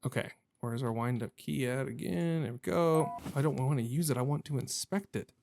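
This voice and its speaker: A man's voice: medium pitch, moderate pace, creaky, darkish tone. A man hosting a gaming video with casual let's-play commentary, thinking aloud in a wry, jokey way. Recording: game ambience and noise behind voice.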